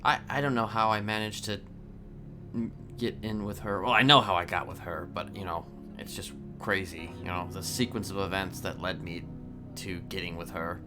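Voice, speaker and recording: A man's voice: deep voice, steady tone, moderate pace, music in the background, lightly acted. A man playing an awkward, lovestruck jailbird who rambles and stammers in improvised online roleplay. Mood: musing.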